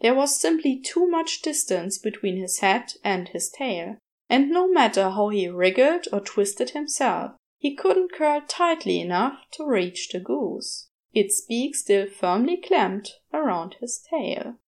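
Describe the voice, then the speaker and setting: A woman narrating a scripted story in a measured, expressive storytelling manner. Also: on the high side. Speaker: podfic narrator.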